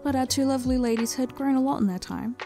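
A woman's voice: high voice, fast talker, warm tone. A woman narrating her own written story as a dramatic reading, in the manner of a storyteller. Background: background music.

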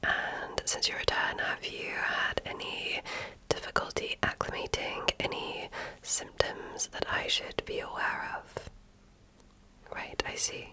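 A woman whispering softly and breathily in an ASMR medical exam role-play, speaking gently and attentively like an examiner. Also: slow, low-quality recording.

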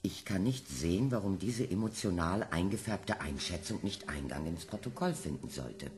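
An actor playing a brisk, formal male chairman running a commission hearing. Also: low voice, lively intonation, darkish tone, slight background noise. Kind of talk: radio play acting.

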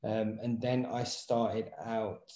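Male, 30s, interview guest and fitness trainer. Low, mellow voice, reflective explanatory delivery. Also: level tone, slow. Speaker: interview guest, personal trainer and movement therapist. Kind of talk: casual interview talk. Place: small indoor room at home, video call. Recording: roomy echo on voice.

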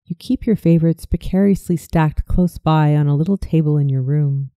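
Very mellow, soft-toned meditation narrator. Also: medium pitch, normal speed, dry recording, studio quality. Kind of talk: scripted guided visualization storytelling.

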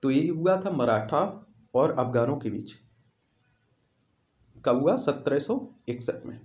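A man's voice: mid-range voice, animated, slow, a little mellow. A man teaching exam questions in an instructional way, repeating questions and answers for emphasis, with an echoey room sound. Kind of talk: instructional quiz walkthrough.

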